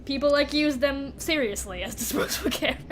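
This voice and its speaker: A woman's voice: sits high, brisk, a little hiss or hum. A woman co-hosting a podcast in casual, slangy book chat, giggly and playfully mimicking dialogue as she retells it. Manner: informal, slangy. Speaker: podcast co-host.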